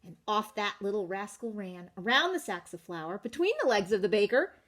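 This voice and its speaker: A woman reading a story to young children, expressive and addressing her listeners directly with questions. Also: medium pitch, normal speed. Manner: expressive, asks listeners questions, varies volume. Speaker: children's story reader, teacher.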